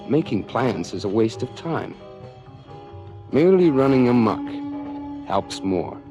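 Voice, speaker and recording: An actor playing a bitter young anarchist, declaiming a deliberate manifesto as a radio drama monologue over guitar music. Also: male voice, mid-range voice. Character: bitter young radical, doctor's anarchist son.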